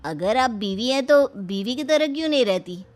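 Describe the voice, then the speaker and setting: A woman's voice: fairly high pitch, lively intonation, moderate pace, close to an everyday voice. A woman voicing bold, teasing young characters in a Hindi cartoon story.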